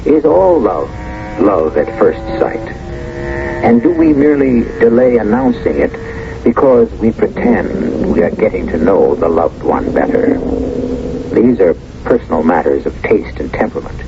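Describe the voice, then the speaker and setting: A man narrating a 1970s radio mystery as an urbane host, musing in a measured, theatrical way. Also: low voice, big pitch swings, heavily acted. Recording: dull old radio recording with music.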